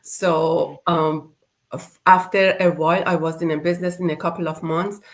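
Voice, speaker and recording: Woman host, lowish voice, halting casual chat. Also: steady tone. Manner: hesitant, halting with fillers.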